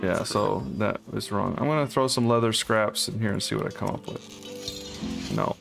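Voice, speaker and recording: A man hosting a co-op game playthrough, chatting casually and thinking out loud as he plays. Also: fairly low pitch, moderate pace, vocal fry, over music. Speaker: let's play host. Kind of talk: casual co-op gameplay chat.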